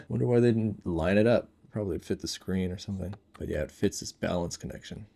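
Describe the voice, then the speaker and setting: A man with a low voice and a gravelly fry talks through a product as he handles it. His delivery is casual and unscripted, made of short remarks as he thinks aloud. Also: fairly quick, warm tone. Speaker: product reviewer. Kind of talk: casual, unscripted unboxing commentary.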